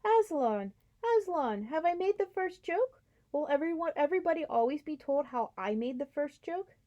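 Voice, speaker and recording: A woman voicing a small excitable talking jackdaw in a storybook reading, eager and squeaky. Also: normal speed, muffled recording.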